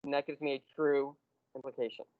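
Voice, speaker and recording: A man teaching a university math class, explaining step by step and slowing down on key terms. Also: medium pitch, steady tone, dry recording, narrow, tinny audio. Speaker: math lecturer. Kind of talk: classroom lecture.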